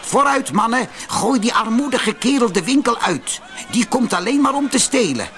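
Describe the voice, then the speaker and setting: A man's voice: extremely high pitch, lively intonation, moderate pace, pushed and bright, a little hiss or hum, pitched above the performer's own voice, full character voice. A man voicing a sly, lazy, wheedling swindler in a storybook fairy tale. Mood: angry, commanding. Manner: theatrical, wheedling. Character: sly, lazy, flattering male swindler and cloth dyer. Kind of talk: storybook character voice.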